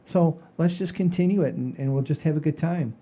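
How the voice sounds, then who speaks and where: Guy in his 60s w a mellow voice, rambly folksy podcast host, casual comedy chat. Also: a little high, brisk.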